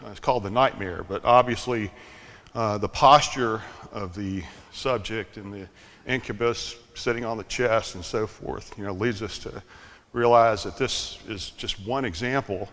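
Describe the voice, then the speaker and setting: An older man giving a conference talk in a slightly monotone, relaxed, self-deprecating way, with plenty of fillers. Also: fairly low pitch, moderate pace. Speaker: conference speaker. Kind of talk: conference presentation. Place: conference hall. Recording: long hall reverb.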